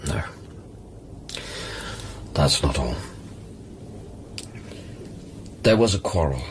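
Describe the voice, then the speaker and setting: An actor playing a troubled, guilt-ridden male scientist on a space station in an audio drama, with an intense, guarded, halting delivery. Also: very deep voice, animated, noisy background, full character voice. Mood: grieving, guilty.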